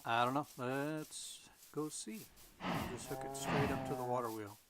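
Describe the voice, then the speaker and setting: A man's voice: mid-range voice, fairly even intonation, unhurried, loud music behind the voice. A man talking to himself as he plays a game, musing in half-whispered asides while losing his voice. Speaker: gaming video host. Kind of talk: casual solo gameplay commentary.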